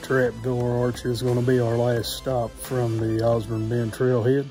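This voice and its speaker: A man's voice: medium pitch, a little slow, over loud music. A young man narrating his hike outdoors in a forest, talking casually into a phone held at arm's length, with plenty of "uh" fillers. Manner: relaxed, practical, many "uh" fillers. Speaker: hiking vlogger.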